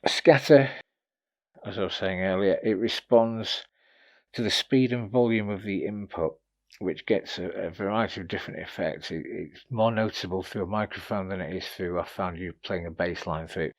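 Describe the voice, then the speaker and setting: A man's voice: sits low, moderate pace. A man giving a casual, informal product review, explaining features and tips in a slightly hesitant way. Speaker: gear reviewer presenting a demo.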